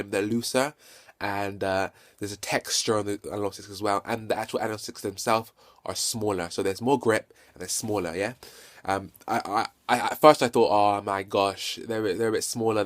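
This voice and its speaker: A man with a fairly low voice talks through a casual hands-on game review. He stutters at times, repeats words and corrects himself as he goes. He sounds keen on his subject, speaking off the cuff into a handheld phone. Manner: halting, self-correcting and repetitive. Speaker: video game reviewer and vlogger.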